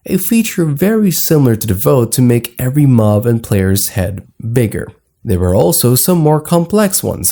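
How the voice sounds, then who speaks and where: A man's voice: mid-range voice, gravelly, dark tone. A man reading scripted narration for an explainer video in a formal, documentary-like manner.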